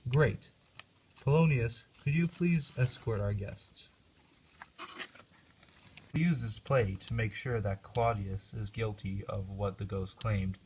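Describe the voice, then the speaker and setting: A man's voice: fairly low pitch, takes it slowly, pauses often, a little mellow, slight background noise, rough recording. A man playing a grieving, bitter young prince in an amateur puppet show. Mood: composed.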